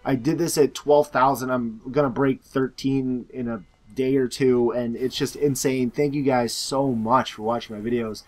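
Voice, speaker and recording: A hoarse young man, a gaming YouTuber, casually answering viewer questions in a loose, rambling way full of fillers and restarts. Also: mid-range voice, fairly even intonation, normal speed, few pauses, darkish tone. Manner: rambling, filler-heavy, self-correcting.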